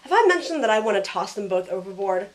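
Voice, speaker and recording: A woman's voice: medium pitch, big pitch swings, quick pace, natural voice. A woman playing a sardonic, loyal young heroine who narrates her own story in an audio drama. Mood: wry, exasperated.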